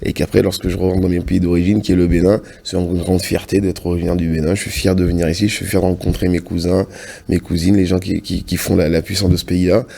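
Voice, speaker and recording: A man from France speaking as a TV studio guest, earnest and halting, often restarting his sentences. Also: low-pitched, flat delivery, vocal fry, mellow. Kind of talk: casual TV interview answers. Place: TV studio set.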